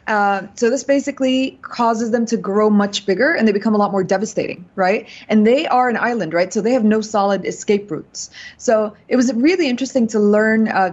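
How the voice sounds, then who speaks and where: A woman's voice: mid-range voice, a little mellow, muffled recording. A woman reporting in a radio chat segment, explaining at length with many fillers.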